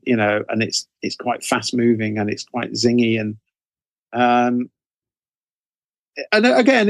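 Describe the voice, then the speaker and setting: A man's voice: mid-range voice, no echo. Male author as interview guest: casual, mid-range voice, circles back and rephrases as he explains, very little room sound. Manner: hesitant, self-correcting, repeats phrases. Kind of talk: casual conversational interview answers.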